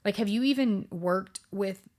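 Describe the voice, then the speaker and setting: A woman's voice: medium pitch, a little slow, a little mellow. A woman in her thirties hosting a podcast, talking reflectively in casual chat with many fillers and restarts. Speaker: podcast host.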